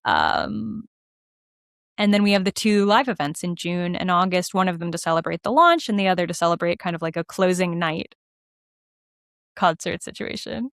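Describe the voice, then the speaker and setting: A woman's voice: on the low side, normal speed, a bit bright, no room sound, clean studio sound. A woman chatting casually as a podcast guest, upbeat and talkative about her creative work. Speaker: podcast guest, writer and performer. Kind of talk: casual interview chat.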